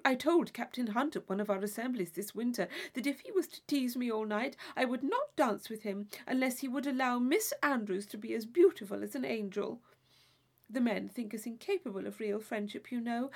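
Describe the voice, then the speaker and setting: A woman's voice: a little high, moderate pace. A woman voicing a gushing, theatrical young society lady in a classic novel reading, effusive, affected and intense. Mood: emphatic, self-important.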